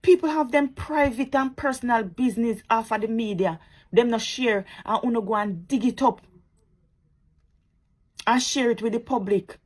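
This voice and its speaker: Husky Jamaican woman preaching to viewers. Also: a little high, moderate pace, darkish tone. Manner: preachy, repetitive and sarcastic. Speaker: social media commentator. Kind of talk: impassioned commentary to viewers.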